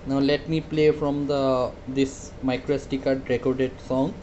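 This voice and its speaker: A man demonstrating a product casually, hesitant and halting, often repeating his words. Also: mid-range voice, flat delivery, dull audio, music in the background. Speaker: product reviewer.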